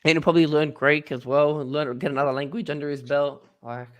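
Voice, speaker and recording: A young man from Australia co-hosting a football podcast, rambling and joking through his points. His voice sits a little high. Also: steady tone, fast, a bit bright. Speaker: podcast co-host. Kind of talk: casual chat.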